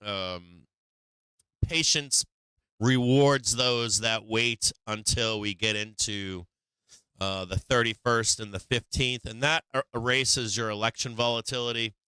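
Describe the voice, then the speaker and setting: A man hosting a stock market livestream, walking through charts in casual live commentary, halting and restarting as he searches for the right words. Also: medium pitch, steady tone, bright, forceful tone, clean tone, no room sound, poor audio.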